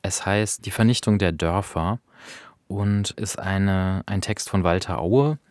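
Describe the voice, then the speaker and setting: Guy with a lowish voice on a podcast, thinking out loud, really dry close sound. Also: slow, no echo. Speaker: podcast co-host. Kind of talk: thoughtful conversational review.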